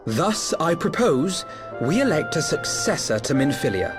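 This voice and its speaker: An actor playing an earnest, courteous young leader in a video game, speaking formally and with measured eloquence. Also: male voice, on the high side, very animated. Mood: formal, grave. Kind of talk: video game cutscene acting. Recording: orchestral game music behind the voice.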